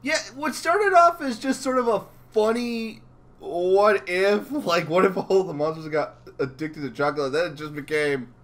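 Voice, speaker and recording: Animated, higher-voiced man chatting enthusiastically. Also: a little slow. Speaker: interviewer and channel host. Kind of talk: casual interview chat.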